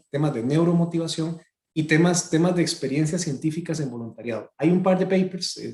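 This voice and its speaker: A man answering audience questions on a video call, fast and hesitant, often repeating words. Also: a little high, dark tone, echoey room. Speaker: webinar speaker.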